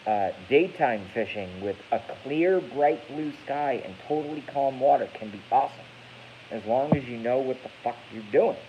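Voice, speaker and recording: A man's voice: mid-range voice, normal speed. Rambling, cursing man in his thirties. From Massachusetts. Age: 30s. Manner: rambling, profane, self-correcting. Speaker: YouTube streamer. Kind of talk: casual live-stream rant. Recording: dull lo-fi with faint hiss.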